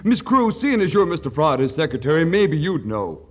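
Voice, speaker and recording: An actor playing a vain, dim cowboy movie star with folksy, ungrammatical talk in a 1940s radio comedy. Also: male voice, on the high side, very animated, a little fast. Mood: sly, defensive.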